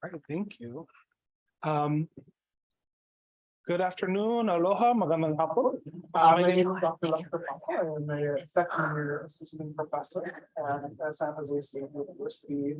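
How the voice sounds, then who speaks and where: A man raised on Kauai introduces himself to a virtual audience, warm and sincere. His voice runs a little high, and he leans on gentle "uh" fillers between phrases. He sounds like he is speaking into a video call. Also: steady tone, normal speed, low-quality recording. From Hawaii. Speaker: assistant professor, panelist. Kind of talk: self-introduction at a virtual event.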